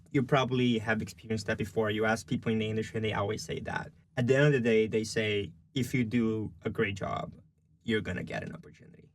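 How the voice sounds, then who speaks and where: A man's voice: fairly low pitch, no echo. A young man from Brazil with a Brazilian Portuguese accent, a journalism student answering interview questions casually, full of "you know" and "like". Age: young adult. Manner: chatty, lots of fillers like "you know" and "like".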